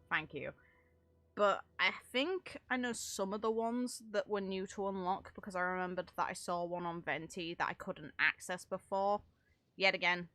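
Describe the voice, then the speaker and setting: Bright-voiced woman streamer, thinking aloud. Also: fairly low pitch, no room sound. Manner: rambling, thinking aloud, mildly sweary. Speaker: game streamer. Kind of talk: casual live reaction commentary.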